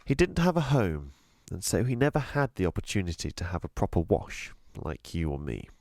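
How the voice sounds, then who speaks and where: A man reading a children's storybook aloud as a teacher would, with expressive, deliberate, emphatic delivery. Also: low voice, no room sound, studio quality. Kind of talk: scripted storybook narration.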